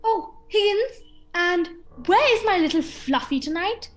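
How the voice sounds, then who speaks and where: A woman's voice: extremely high pitch, lively intonation, measured pace, soft background music. A woman playing a grand, ominously hospitable countess in a student radio play, stately and theatrical. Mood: brisk, imperious.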